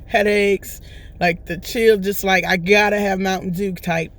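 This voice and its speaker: A woman with a hoarse voice that is still recovering, talking casually and at length to her vlog viewers and often asking "right?". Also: mid-range voice, little pitch movement, unhurried. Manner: rambling, chatty, often checks in with "right?". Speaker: vlogger. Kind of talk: casual testimonial chat.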